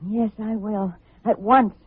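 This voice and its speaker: A woman's voice: medium pitch, steady tone, measured pace, husky. An actress playing an earnest, timid young woman in love in an old-time radio drama, speaking in a hesitant, emotional way. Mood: softly grateful. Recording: tinny, muffled old radio recording.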